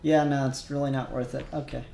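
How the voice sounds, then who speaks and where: Streamer type, a man with a gravelly voice, chatting while he works, echoey room. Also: mid-range voice, flat delivery, brisk, mellow, a little hiss or hum. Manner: mutters settings aloud while working, chuckling. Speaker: streamer doing 3D render work. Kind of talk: casual livestream chat.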